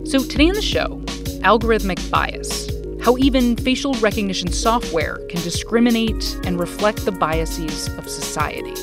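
A woman's voice: mid-range voice, animated, moderate pace, over music. An American woman co-hosting a podcast in a casual, informal and playful conversational style. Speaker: podcast co-host.

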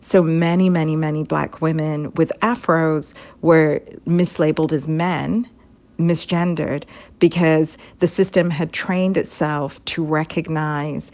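A woman with a low voice gives expert commentary in an interview. She speaks emphatically, repeating words to stress her point. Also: steady tone, measured pace, a little mellow.

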